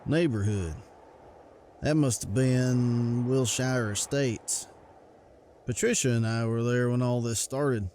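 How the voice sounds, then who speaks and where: A man voicing a gentle, guilt-ridden rural hunter in a motion comic, with folksy, plain speech. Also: mid-range voice, takes it slowly, slight background noise, close to an everyday voice. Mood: troubled, recounting. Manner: folksy, ungrammatical country speech. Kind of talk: comic book voice acting.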